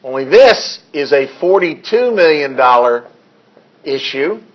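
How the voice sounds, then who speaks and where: A hoarse male accounting instructor in a classroom lecture, reading figures deliberately and adding dry asides. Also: fairly high pitch, big pitch swings, highs cut off. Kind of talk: classroom lecture working through problems.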